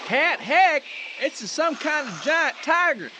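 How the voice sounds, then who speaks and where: An actor playing an anxious, excitable country sidekick with a Southern American accent in an old-time radio comedy adventure. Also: male voice, extremely high pitch, a little slow, background noise, exaggerated voice. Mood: anxious, alarmed.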